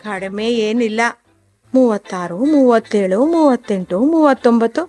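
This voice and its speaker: A woman's voice: a little high, animated, moderate pace, dark tone, faint music behind, lightly acted. A woman playing a sly old village dung-cake seller. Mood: sly chatty. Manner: rustic village dialect, sly and sweet-talking while counting. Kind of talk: radio drama acting.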